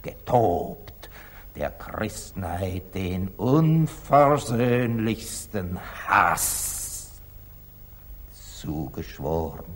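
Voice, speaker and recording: An actor playing a grave, wise merchant father in a 1940s German radio drama, solemn and declamatory, moving between hushed and fervent delivery. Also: low voice, expressive, extremely slow, heavily acted. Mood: anguished, fervent.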